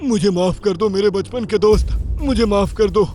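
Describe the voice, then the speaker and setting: A man's voice: sits high, normal speed, warm tone, slight background noise, natural voice. A man voicing a taunting, menacing villain animal in a Hindi cartoon story. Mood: pleading. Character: sneering villain wolf and mischievous bully rhinoceros.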